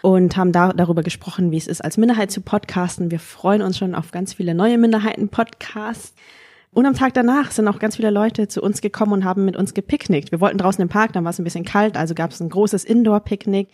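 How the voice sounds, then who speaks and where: A woman's voice: mid-range voice, steady tone, quick pace, darkish tone. A woman co-hosting a podcast, talking casually in an informal, colloquial way. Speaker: podcast co-host.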